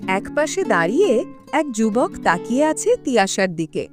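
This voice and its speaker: A woman's voice: a little high, sing-song, moderate pace, over music. A woman narrating a scripted story as its storyteller.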